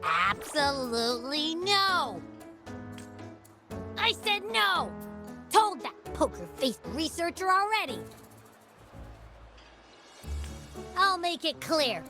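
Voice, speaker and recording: High, bouncy, cocky little creature. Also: female voice, very animated, slow pace, sharp tone, faint music behind, full character voice. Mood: defiant. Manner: boastful, exclamatory, childlike. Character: small cocky, gluttonous, childlike creature companion, protective and boastful. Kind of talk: anime-style video game voice acting.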